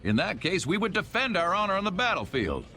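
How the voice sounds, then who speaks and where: A man's voice: high voice, wildly swooping pitch, brisk, bright, forceful tone, soft background music, exaggerated voice. An actor playing a proud, combative male guild boss in a video game.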